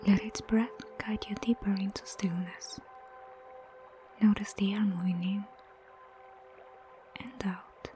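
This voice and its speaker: A woman guiding a meditation in a soft, breathy near-whisper, gentle and soothing, unhurried with long pauses. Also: mid-range voice, steady tone. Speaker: meditation guide. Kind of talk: guided meditation narration. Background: prominent music.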